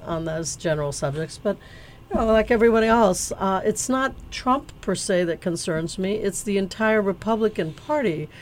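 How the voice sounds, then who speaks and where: A woman's voice: mid-range voice, a little hiss or hum. Hesitant, indignant woman guest. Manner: thoughtful, hesitant with many fillers and restarts; indignant, emphatic. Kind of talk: conversational interview answers.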